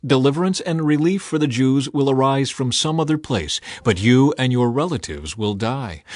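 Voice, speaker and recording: A man's voice: medium pitch, no echo, natural voice. A man voicing stern, urgent Mordecai.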